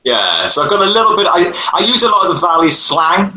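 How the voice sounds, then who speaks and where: A Welsh man with a towny Newport or Cardiff accent, speaking on a video call. His voice is very bright and sharp, with lively, swooping pitch, and the sound is roomy and echoey. He talks easily, laughing and poking fun at himself. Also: fast talker, highs cut off. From Wales. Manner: laughing, self-deprecating. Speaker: guest musician and writer. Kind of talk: casual interview chat.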